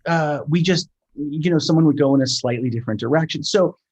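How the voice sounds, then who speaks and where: A man in casual conversation, explaining his work in a halting way, thinking aloud and repeating words as he goes. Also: on the high side, darkish tone, breathy edge. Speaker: sound designer, interview guest.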